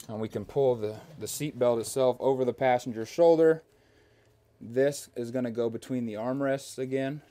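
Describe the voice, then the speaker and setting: A man's voice: medium pitch, dark tone. A young man giving a step-by-step, hands-on demonstration inside a small parked bus, talking steadily with the odd filler as he works.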